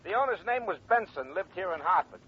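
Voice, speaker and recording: An actor playing a brisk male insurance company boss, giving the facts in a matter-of-fact way in a 1950s radio drama. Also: high-pitched, animated, slightly rough. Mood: businesslike. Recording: dull lo-fi 1950 radio broadcast with faint hiss.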